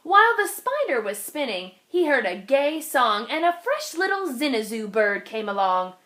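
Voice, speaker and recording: A woman reading a rhyming children's storybook aloud in an expressive style. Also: high-pitched, moderate pace. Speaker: storybook reader.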